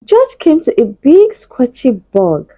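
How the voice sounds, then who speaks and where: A woman's voice: sits high, wildly swooping pitch, slow, warm tone, no room sound. A woman narrating a children's picture book in a read-aloud style. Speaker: storybook reader.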